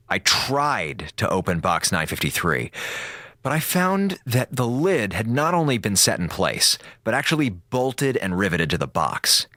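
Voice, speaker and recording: An actor playing a wry, exasperated space station communications officer in a radio drama, sardonic and theatrical with dramatic pauses. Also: male voice, mid-range voice, bright, forceful tone, no echo, clean studio sound. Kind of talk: radio drama monologue, log to listeners.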